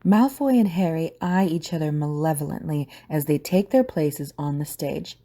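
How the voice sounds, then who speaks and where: A woman's voice: deep voice, moderate pace, mellow. A woman narrating a scripted audio drama as storyteller.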